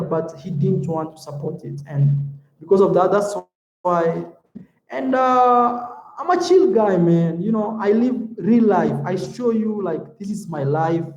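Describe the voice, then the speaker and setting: High-voiced laid-back man in echoey room. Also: muffled recording. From Africa. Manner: relaxed and talkative, calls viewers "man" and "bro". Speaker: YouTube vlogger. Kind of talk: casual livestream chat, reading viewer comments.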